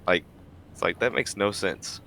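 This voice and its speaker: Male co-host, very low but sharp voice, informal sports chat, faint noise behind. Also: moderate pace, vocal fry, poor audio. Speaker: podcast co-host. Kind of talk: casual sports chat with friends.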